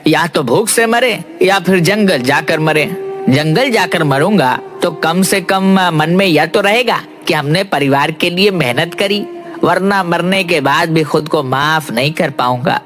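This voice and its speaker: Man acting poor village woodcutter, cartoon, bright high voice, fearful, folksy, plaintive. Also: unhurried, soft background music. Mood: gloomy, resigned. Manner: folksy, repeats a pet oath, plaintive.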